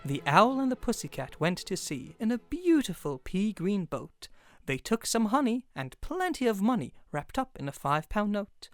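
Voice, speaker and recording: Expressive male storyteller, very lively pitch. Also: normal speed, dry recording, faint music behind. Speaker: voice actor.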